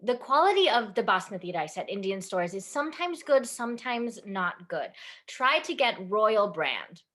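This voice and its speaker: A woman's voice: medium pitch, very animated, a bit bright. A woman playing a stern, traditional middle-aged Indian mother in a staged reading, speaking firmly and instructively. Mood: brisk, instructive. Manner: firm, halting, instructive. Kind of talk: staged reading over video call.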